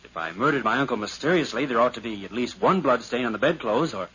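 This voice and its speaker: An actor playing a guilt-ridden nephew who has murdered, courteous yet prone to panic, in theatrical 1950s radio drama style. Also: male voice, mid-range voice, expressive, fast, fairly bright tone, breathy edge. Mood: polite, controlled. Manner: theatrical, courteous turning frantic. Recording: dull, hissy 1950s radio recording.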